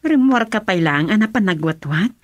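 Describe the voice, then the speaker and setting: A self-possessed madam and governor acted by a woman in a radio drama. She is theatrical, with widely swinging pitch and a dry studio sound. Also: lively intonation, moderate pace, no echo, natural voice. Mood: inquisitive. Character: female governor and lady of the house, self-possessed. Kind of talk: Ilocano radio drama acting.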